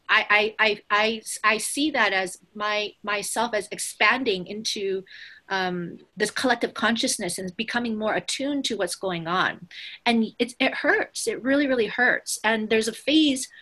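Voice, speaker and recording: Bright-voiced, earnest woman in conversation. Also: a little high. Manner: earnest, emphatic, casually sweary. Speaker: spiritual teacher.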